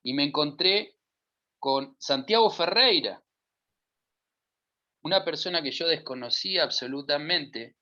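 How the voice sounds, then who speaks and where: A middle-aged man with a Uruguayan Spanish accent speaks on a discussion panel. His voice sits fairly high and bright, and he stacks up emphatic lists of words. The sound is dull and lo-fi. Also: animated, unhurried, pauses often. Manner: emphatic, building lists of verbs. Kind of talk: discussion talk. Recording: dull lo-fi audio.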